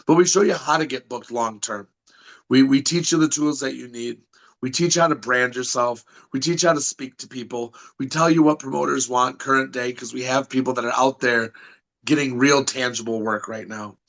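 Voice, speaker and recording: A man's voice: medium pitch, normal speed, a bit bright. A man from Michigan with a Michigan accent, a wrestling school head talking as a podcast guest, confident and promotional, often restarting his sentences. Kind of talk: podcast interview.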